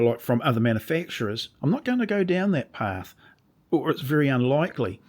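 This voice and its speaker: A man with a slightly croaky voice, casually demonstrating a workshop project and often restarting his sentences as he explains. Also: mid-range voice. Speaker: woodworking channel host.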